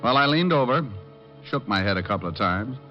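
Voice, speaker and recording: A man's voice: medium pitch, lively intonation, brisk, lightly acted. A man playing a cocky, sardonic private detective who narrates and trades dry wisecracks in an old-time radio drama. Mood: wry narration. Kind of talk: 1940s-50s radio detective acting and narration. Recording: dull old-time radio recording, music.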